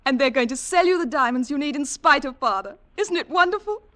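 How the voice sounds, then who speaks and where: A woman's voice: high-pitched, animated, brisk, a bit bright. An actress playing a devoted, impulsive young woman in love, earnest and pleading in 1940s radio style. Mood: excited. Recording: 1940s radio drama broadcast.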